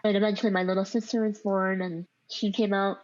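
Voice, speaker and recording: A woman's voice: mid-range voice, little pitch movement, a little fast, a little mellow, dry recording, dull audio. A woman with a speech impediment, telling a personal story in an informal, reflective interview style, peppered with "like". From born overseas in Vietnam, grew up in the United States. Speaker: podcast guest telling their life story.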